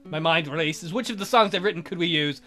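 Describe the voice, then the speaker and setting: A man's voice: a little high, brisk, sharp tone. Irreverent man reading a game aloud. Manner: irreverent, crude joking. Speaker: let's play host. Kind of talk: reading visual novel aloud with asides. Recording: voice over game music. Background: game music.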